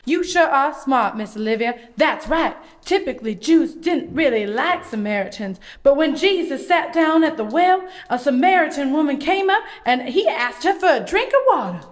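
A woman's voice: high-pitched, very animated, normal speed, hardly any pauses. A woman voicing a friendly, cheerful puppet who tells Bible stories to children in a folksy, encouraging way. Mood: storytelling. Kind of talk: children's puppet show dialogue.

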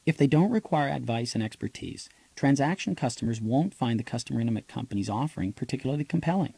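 A man narrates a nonfiction audiobook in a mellow voice with a noticeable creak. His delivery is scripted and explanatory, laid out point by point. The sound is dry and close, with no room to it. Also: medium pitch, lively intonation, fast, no echo. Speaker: author reading own audiobook.

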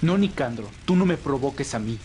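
A man's voice: mid-range voice, animated, brisk, a little mellow, slight background noise, natural voice. Man voicing loyal vampire friend, anguished, earnest, dramatic, audio roleplay, faint background ambience. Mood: defiant, pained. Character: male vampire, anguished loyal friend defying his companion.

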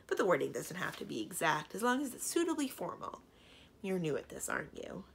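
A strong-willed young princess from a storybook, played by a woman reader. She is brisk and matter-of-fact, lecturing with emphatic swoops of pitch. The voice has a little gravel in it. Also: sing-song, a little hiss or hum. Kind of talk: storybook character dialogue.

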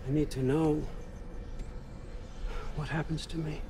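A man playing a sly, grandfatherly one-eyed god-king in a video game, with a folksy, theatrical storyteller's delivery over loud music. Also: fairly high pitch, level tone, a little slow, noisy background, lightly acted. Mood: grave, confiding. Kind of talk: video game character acting.

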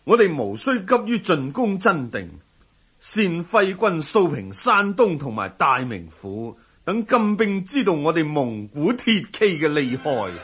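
Slow, imperious Mongol warlord prince. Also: male voice, a little high, sing-song, fairly bright tone. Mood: decisive. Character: commanding Mongol general-prince, hearty and stern. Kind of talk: Cantonese historical radio drama acting.

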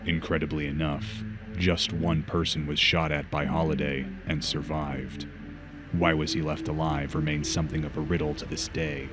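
A man's voice: very deep voice, flat delivery, moderate pace. A man voicing a brooding, stern masked vigilante in a comic fan dub, terse and grave. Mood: grim, commanding. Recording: music bed behind.

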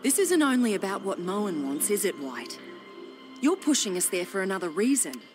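Tough, expressive woman acting a game survivor. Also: mid-range voice, normal speed, music in the background, lightly acted. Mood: suspicious, threatening. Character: tough, suspicious woman survivor who challenges people. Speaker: actor in a video game cutscene. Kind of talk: dramatic game cutscene acting.

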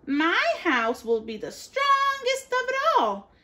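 Woman voicing little storybook pigs, tiny, squeaky, boastful, very high. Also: huge pitch swings, slow pace, sharp tone, exaggerated voice. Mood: defiant. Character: little pigs, small, young and defiant.

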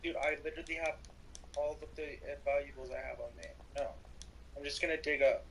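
A man's voice: fairly high pitch, steady tone, brisk, vocal fry, a bit bright, a little hiss or hum, low-quality recording. A man talking casually as a player during an online game. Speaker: player in a Minecraft roleplay server.

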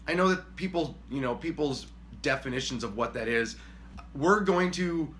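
A man in his forties chatting casually at home on a couch, rambling and self-deprecating, with a booming voice when worked up. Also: sharp tone. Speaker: co-host, husband. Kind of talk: casual chat. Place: living room couch, indoors.

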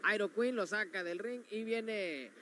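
A man calls wrestling moves in real time, his voice high and bright. He names each kick and dive as it lands. Faint arena noise runs behind him. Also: a little slow. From Mexico. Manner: calling moves as they happen. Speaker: wrestling commentator. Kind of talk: play-by-play commentary. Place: university gym arena.

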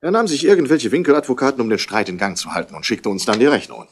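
A man's voice: mid-range voice, very animated, very fast, slight background noise, lightly acted. An actor playing a haughty, irritable wealthy man in a 1960s radio play, speaking in a curt, formal way. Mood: cool, formal.

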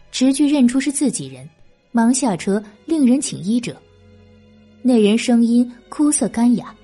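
Expressive woman narrator with a soft-edged voice. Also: on the high side, breathy edge. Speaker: audiobook narrator. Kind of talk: dramatic scripted narration of a historical novel.

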